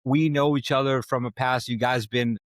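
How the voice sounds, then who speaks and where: A man hosting an interview over a video call, enthusiastic and halting, often repeating words as he asks questions. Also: mid-range voice, fairly even intonation, dry recording. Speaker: interview host.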